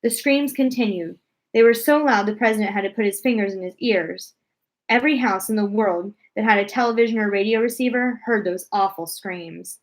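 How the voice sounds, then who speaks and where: Expressive woman narrating a children's storybook. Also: medium pitch, brisk, low-quality recording. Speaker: read-aloud narrator.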